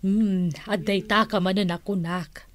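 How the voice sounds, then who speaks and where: A woman's voice: medium pitch, fairly even intonation, a little slow, close to an everyday voice. A woman playing a smitten, hot-tempered auntie in an Ilocano radio comedy drama, exclamatory and theatrical. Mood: composed.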